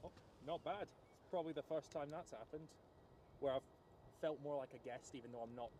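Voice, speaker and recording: A man's voice: a little high, a little fast, a little mellow, a little hiss or hum. A young man from Edinburgh with a Scottish accent, chatting politely outdoors at an airport, talkative and a bit hesitant. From Scotland. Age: young adult. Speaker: visiting plane spotter. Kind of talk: casual chat.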